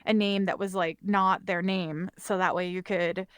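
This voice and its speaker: A woman talking casually as a podcast guest, informal and quick to laugh. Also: fairly low pitch, steady tone, fairly bright tone, smooth, no echo, clean studio sound. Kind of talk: casual chat.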